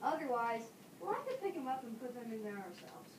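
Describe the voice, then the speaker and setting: A woman's voice: a little high, big pitch swings, fairly quick. A young woman playing a resourceful, confident schoolboy in readers theater, with a plain, earnest delivery. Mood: excited. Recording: distant echoey room, clear background noise.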